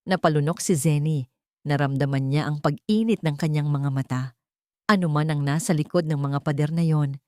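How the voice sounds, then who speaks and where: A woman's voice: low voice, warm tone, no echo, studio quality. A woman with a Filipino accent reading a scripted, dramatic suspense story as its narrator.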